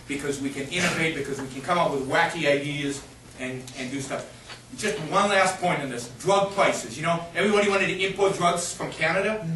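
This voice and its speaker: A man's voice: fairly high pitch, a bit bright. A middle-aged man from Israel, a guest speaker answering audience questions in an emphatic, argumentative way with frequent restarts. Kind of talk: impassioned lecture Q&A. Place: university lecture hall. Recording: echoey noisy hall from far off.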